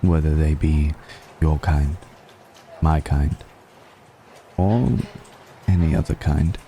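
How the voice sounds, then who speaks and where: A man playing a suave, ancient aristocratic vampire in an intimate ASMR roleplay, musing slowly and deliberately with long pauses. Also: steady tone, warm tone, slight background noise, heavily acted. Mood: musing, aloof. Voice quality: very deep, gravelly.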